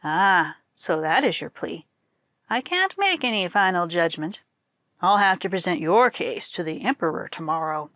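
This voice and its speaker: A woman's voice: fairly low pitch, expressive, normal speed, fairly bright tone, no echo. A woman voicing a stern, righteous male government minister in a folktale, scolding formally with indignation. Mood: coolly stern.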